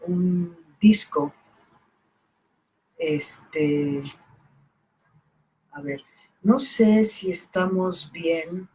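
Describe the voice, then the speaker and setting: A middle-aged woman, Italian by birth, talking unhurriedly about her book, with many pauses and fillers in a live stream. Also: fairly low pitch, darkish tone, rough recording. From Italy, raised in southern Mexico. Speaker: poet and editor presenting her book.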